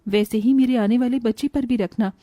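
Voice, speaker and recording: Gentle, mellow-voiced young woman, acted by a woman. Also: a little high, fairly even intonation, a little fast, dry recording, natural voice. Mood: devout, pleading. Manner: earnest, caring. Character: dutiful, gentle, hardworking young pregnant daughter-in-law. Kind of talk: Hindi animated moral tale voice acting.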